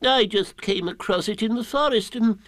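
A man voicing a fussy, self-important owl in a children's storybook, speaking in a formal, drawn-out way. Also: high voice, a bit bright, no room sound, studio quality, pitched above the performer's own voice. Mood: proud, chatty. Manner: pompous, formal, drawn-out delivery.

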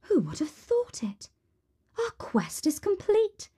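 A woman's voice: very high voice, huge pitch swings, takes it slowly, dark tone, pitched above the performer's own voice, full character voice. A woman voicing a courteous male royal servant in a storybook tale, with a formal manner. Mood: amazed.

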